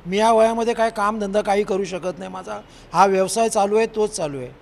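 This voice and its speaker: High-pitched middle-aged man. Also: a little fast, gravelly. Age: 40s. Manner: repetitive, asks rhetorical questions. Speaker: sound operator being interviewed. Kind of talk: plain-spoken interview answer. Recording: faint background noise.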